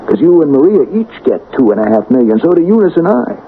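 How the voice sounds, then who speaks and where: A man's voice: fairly high pitch, big pitch swings, fast. An actor playing a persuasive, calculating small-town man in a radio drama, insistent and coaxing in delivery. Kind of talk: 1970s radio drama acting. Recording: tinny, noisy old radio broadcast.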